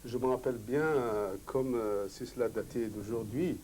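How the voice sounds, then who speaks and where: A man's voice: mid-range voice, normal speed, a little mellow. A man interviewing a former footballer, asking long, hesitant, rambling questions.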